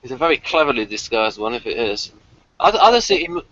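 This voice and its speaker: A man's voice: mid-range voice, very animated, brisk, a bit bright, dry recording, lo-fi sound. A man hosting a casual fan review, chatty and rambling as he thinks aloud. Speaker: host of a TV review discussion.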